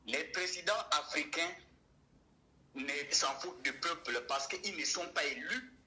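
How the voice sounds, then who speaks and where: A man's voice: on the high side, a little slow, pushed and bright, poor audio. A young man calling in to a debate show, arguing emphatically and firing off rhetorical questions. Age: young adult. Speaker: caller. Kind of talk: call-in debate.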